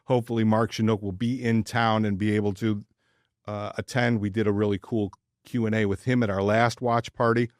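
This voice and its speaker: A man's voice: on the low side, little pitch movement, a little fast, no room sound, clean studio sound. A man co-hosting a casual sports podcast, chatting informally and jokingly, often stammering and repeating his words. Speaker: podcast co-host.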